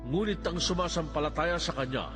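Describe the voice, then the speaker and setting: A man voicing God and the prophets in dramatized scripture, solemn, deliberate and declamatory. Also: on the high side, close to an everyday voice. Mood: grave, proclaiming. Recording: music under the voice. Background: background music.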